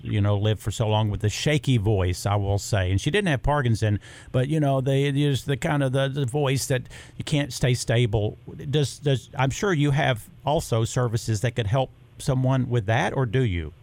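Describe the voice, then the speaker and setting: A man hosting a talk asks questions in a rambling way. He pauses, restarts, and searches for the right words as he goes. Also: medium pitch, a little mellow.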